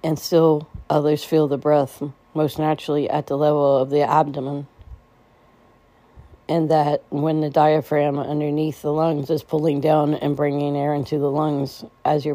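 A woman leading a guided meditation in a gentle, soothing way, with unhurried phrasing and pauses. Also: low voice, no room sound.

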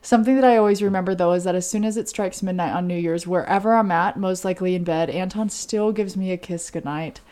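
Low-pitched woman telling true-crime stories. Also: fast, hardly any pauses, warm tone. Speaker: podcast co-host. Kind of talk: conversational true-crime storytelling.

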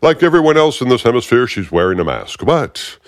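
A man voicing a smug, bombastic federal agent in an aggravating, high, drawn-out voice. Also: very animated, dry recording, studio quality, close to an everyday voice.

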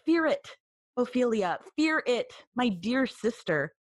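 A woman's voice: on the high side, big pitch swings, slow pace, dry recording, exaggerated voice. A woman playing an earnest, protective older sibling in a Shakespearean audio play, speaking in an emphatic, theatrical, admonishing way. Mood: earnest warning. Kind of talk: Shakespearean audio drama acting.

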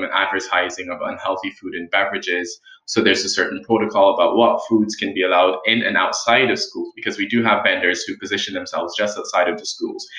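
A young man from the Caribbean speaks in a bright, forceful tone, pausing on ums as he works through his points. His voice sits a little low and rings in a roomy, echoing space. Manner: thoughtful, many ums and restarts. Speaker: youth health advocate. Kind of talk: conversational interview answers. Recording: roomy echo.

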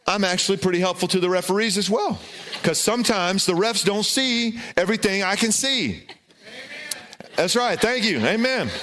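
Humorous, animated preacher man. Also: a little hiss or hum. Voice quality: loud voice. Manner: self-deprecating, humorous storytelling with big pitch swings. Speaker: pastor. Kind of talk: sermon with personal story.

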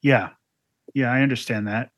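A man's voice: medium pitch, fairly even intonation, moderate pace, dry recording, clean studio sound. A man interviewing a photographer. He asks guiding questions and gives brief, attentive affirmations.

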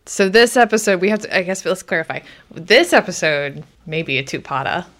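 A woman's voice: fairly low pitch, expressive, brisk, a bit bright. A woman co-hosting a podcast in casual conversation, often chiming in to question and clarify. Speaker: podcast co-host.

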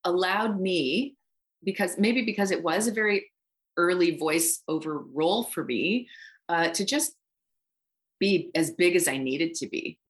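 Middle-aged woman, lowish voice, chatting informally. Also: expressive, a little slow. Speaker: voice actor, interview guest. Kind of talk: casual remote interview. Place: home recording space, remote.

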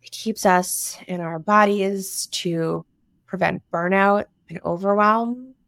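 Female meditation guide, very slow delivery, some vocal fry, soothing and instructive, close dry sound. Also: mid-range voice, fairly even intonation, a bit bright, dry recording. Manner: soothing, instructive, with long pauses between short phrases. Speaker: meditation guide, breathwork teacher.

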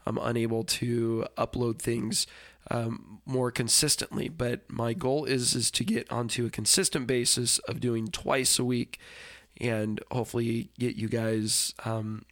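A congested man talks through his solo podcast intro, rambling and apologetic, tripping over restarts and ums. His voice sits at an ordinary middle pitch, stuffy through the nose, recorded close and dry with no room sound. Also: little pitch movement, measured pace, fairly bright tone, dry recording. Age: adult with a daughter. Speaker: podcast host. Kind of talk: casual solo podcast talk.

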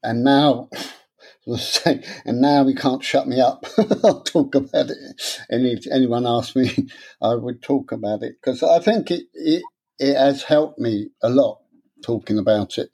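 Soft, halting man with a stammer. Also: mid-range voice, breathy edge. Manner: halting, repeats words, soft-spoken, candid. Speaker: interviewee. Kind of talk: personal interview.

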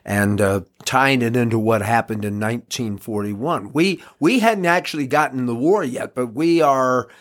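An American man chatting as an interview guest in an informal, halting way, often repeating words. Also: medium pitch. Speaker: guest, community theater actor. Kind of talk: casual interview chat.

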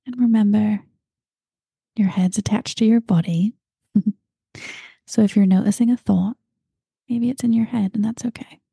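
A woman guiding a meditation, talking slowly and calmly and at times dropping into breathy whispers. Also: medium pitch, no room sound. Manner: slow, soft and calm, drifting into breathy whispers. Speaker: meditation guide. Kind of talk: guided meditation.

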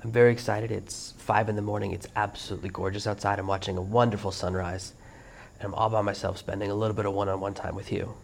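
A man hosting a solo podcast whose voice is hoarse and worn out. He explains things in a steady, earnest way. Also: fairly low pitch, quick pace, slight background noise. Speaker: podcast host. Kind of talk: solo instructional monologue.

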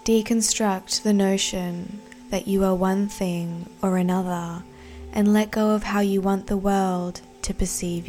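Slow, hushed woman guiding a meditation. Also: medium pitch. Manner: soothing, deliberate, breathy and often near-whispered. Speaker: meditation guide. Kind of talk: guided meditation narration. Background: soft background music.

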